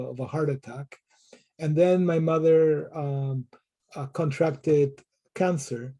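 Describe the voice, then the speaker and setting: Dark-toned man, reflective and halting. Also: on the high side. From Peru. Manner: thoughtful, frequent word repetitions and restarts. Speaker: professor being interviewed. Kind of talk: conversational interview answers.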